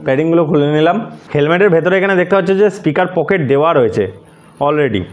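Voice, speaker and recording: Man, rapid pace, somewhat high voice, casual tutorial narration, English words mixed in. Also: lively intonation, lo-fi sound. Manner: explanatory, mixes English words into speech. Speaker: host of a motorcycle gear tutorial.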